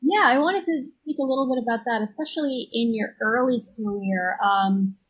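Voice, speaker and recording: A woman's voice: a little high, normal speed, breathy edge, poor audio. A woman interviewer asking conversational questions in a tentative, rambling way.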